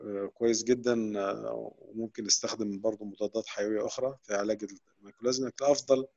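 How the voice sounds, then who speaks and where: A man's voice: lowish voice, fairly even intonation, gravelly, a little mellow. Egyptian vet professor guy rattling off answers, muffled call audio. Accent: Egyptian Arabic. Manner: explanatory, rapid, with frequent restarts. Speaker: veterinary professor. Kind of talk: answering questions in an online lecture. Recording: dull, lo-fi online call audio.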